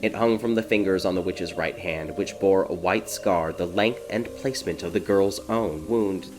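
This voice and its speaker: A man's voice: deep voice, normal speed. A man reading scripted fairy tale narration as an audiobook storyteller. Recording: prominent music behind the narration. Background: music.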